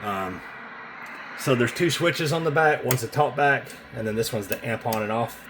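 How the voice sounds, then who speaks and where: A man casually demonstrating a radio he is selling, talking in an informal, halting, hands-on way. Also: medium pitch. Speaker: CB radio seller. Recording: noisy room with radio hiss.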